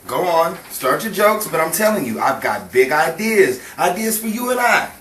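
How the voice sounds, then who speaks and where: An actor playing a scheming, smooth-talking young hoodlum who pushes his girlfriend, in 1950s radio crime drama style. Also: a little high, very animated, normal speed, fairly bright tone. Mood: insistent, restless. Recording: roomy, distant mic with faint noise.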